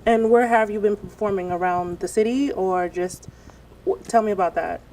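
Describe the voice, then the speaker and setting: A woman's voice: mid-range voice, moderate pace, a little mellow, a little hiss or hum, rough recording. Playful woman TV host. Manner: playful, teasing. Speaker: TV show host. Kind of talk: interviewing guests.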